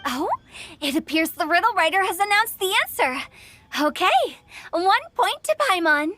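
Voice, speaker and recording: A woman's voice: very high-pitched, sing-song, normal speed, pushed and bright, no room sound, exaggerated voice. A woman playing a perky young event hostess in an anime game, with a chirpy, careful delivery. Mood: politely doubtful.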